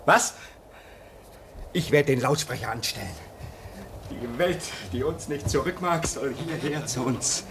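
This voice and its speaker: A man's voice: mid-range voice, measured pace, long pauses, a bit bright, slight background noise. A man playing a bitter, brooding man with a sardonic, gloomy way of talking, in a radio drama.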